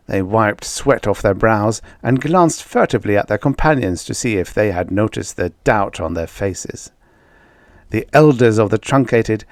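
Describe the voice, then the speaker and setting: A man with a fairly low voice narrates a science fiction audiobook. His delivery is measured and dramatic, leaning on key words for emphasis. The sound is dry and close, with little room in it. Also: a little mellow, no room sound. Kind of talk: scripted narration of vintage science fiction stories.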